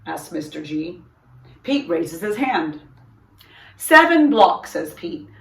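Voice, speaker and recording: A woman hosting a storytime, reading a children's picture book aloud in an expressive, deliberate way. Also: low-pitched, vocal fry. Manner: expressive, deliberate, puts on character dialogue. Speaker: storytime host, reader. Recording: roomy echo, distant microphone.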